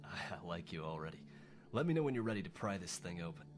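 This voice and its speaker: A man voicing a wounded rebel fighter in a video game, weary, strained and halting, with a wry, loyal temper. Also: low voice, big pitch swings, noisy background. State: injured, in pain. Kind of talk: video game character dialogue.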